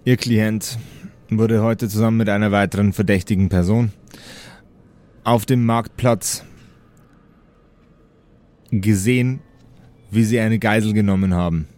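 A man's voice: fairly low pitch, fairly even intonation, unhurried, pauses often, darkish tone, lightly acted. Man playing a stern, formal paladin guard. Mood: stern, official. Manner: formal, matter-of-fact.

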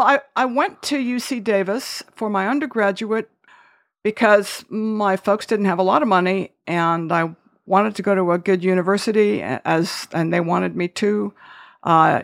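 A woman's voice: medium pitch, creaky. A woman in her sixties from Wales, a podcast guest talking through her life story in a chatty, self-correcting way. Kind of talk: conversational interview.

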